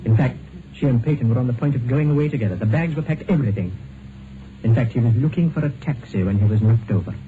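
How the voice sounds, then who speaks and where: Tinny, grave doctor played by an actor. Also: male voice, mid-range voice, fast, close to an everyday voice. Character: grave, courteous, morally stern doctor. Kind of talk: 1950s radio drama acting. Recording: noisy, tinny 1950s radio broadcast.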